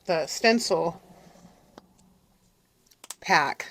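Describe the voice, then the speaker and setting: A middle-aged woman with a raspy, congested voice from a cold, chatting casually to viewers as she works through a craft tutorial and keeps apologizing. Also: fairly low pitch, lively intonation, very slow, fairly bright tone. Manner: chatty, apologetic, talks to viewers while working. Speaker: craft channel host.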